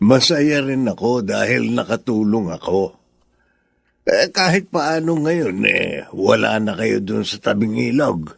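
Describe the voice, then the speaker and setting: Gravelly, husky, kindly benefactor. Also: male voice, fairly low pitch, animated, takes it slowly, no echo, clean studio sound, heavily acted. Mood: pleased. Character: kindly benefactor glad to have helped a family. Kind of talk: Filipino radio drama acting.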